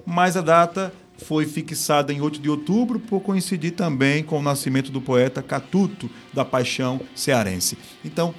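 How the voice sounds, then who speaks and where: A man with a Northeastern Brazilian accent hosting a live talk stream, chatting in a lively way and tossing in playful regional exclamations. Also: medium pitch. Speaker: host of a live business talk show. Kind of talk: casual live-stream chat.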